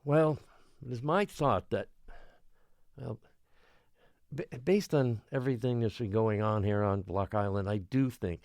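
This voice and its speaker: A man playing a harried small-island mayor in an audio drama, placating and stammering as he pleads for calm. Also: on the low side, unhurried, pauses often, no room sound, studio quality. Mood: earnest, hesitant. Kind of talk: audio drama acting, public town meeting address.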